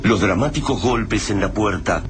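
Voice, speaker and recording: A man giving dramatic, measured scripted narration for a radio drama. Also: lowish voice. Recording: noisy radio-drama recording.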